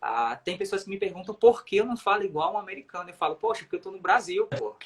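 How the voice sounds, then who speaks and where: A man's voice: high voice, animated, quick pace. A man with a Brazilian accent, a native Portuguese speaker, explaining things emphatically in a casual livestream chat. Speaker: self-taught English coach on a livestream.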